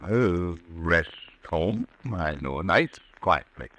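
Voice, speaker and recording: An actor playing a firm doctor friend in an old-time radio drama, by turns placating and stern, with an authoritative manner. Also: male voice, deep voice, sing-song, takes it slowly, a bit bright, slight background noise, heavily acted.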